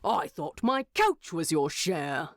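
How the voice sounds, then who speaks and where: A woman puts on a gruff male dwarf king for a fantasy tale. He speaks slowly and tersely, guarded in his concern and deadpan when he teases. The sound is dry, with no room to it. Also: sits low, no room sound, heavily acted. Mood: deadpan teasing. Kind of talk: fan fiction narration with character voices.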